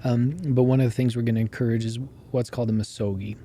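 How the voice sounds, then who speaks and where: Hoarse, mellow-voiced male podcast host. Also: mid-range voice, fast. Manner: animated storyteller who laughs while telling. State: hoarse, voice going out. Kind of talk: casual interview chat.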